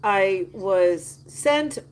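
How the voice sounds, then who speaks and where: Older woman, livestream host, casual rambling chat to viewers, mid-pitched, faint hiss behind her. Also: expressive, extremely slow. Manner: rambling, personal storytelling with many fillers.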